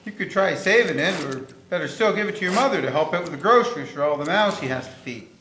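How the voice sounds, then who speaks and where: Man playing a lively, kindly scolding barber. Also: fairly high pitch, quick pace, close to an everyday voice. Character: plain-spoken small-town barber, kindly scolding. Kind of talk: radio drama acting. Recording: echoey room with clear noise.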